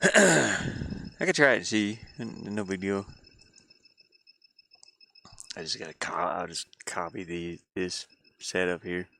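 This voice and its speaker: A man chatting casually on a live stream as he works on a game, halting and muttering his thoughts aloud. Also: sits low, vocal fry, pushed and bright. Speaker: hobby game developer streaming his work. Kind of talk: casual live-stream chat while working.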